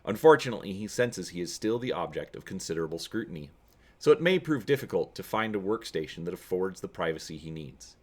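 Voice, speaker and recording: A man giving a dramatic narrated reading of an adventure story, expressive in his storytelling. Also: low voice, fast. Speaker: reader and host of a gamebook read-through.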